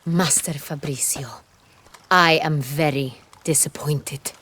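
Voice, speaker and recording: A woman playing an imperious noble duchess in a video game, formal and commanding, quick to threaten. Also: sits low, steady tone, a bit bright, breathy edge, heavily acted. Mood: cold, disappointed. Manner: formal, commanding, royal diction. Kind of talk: dramatic video game acting.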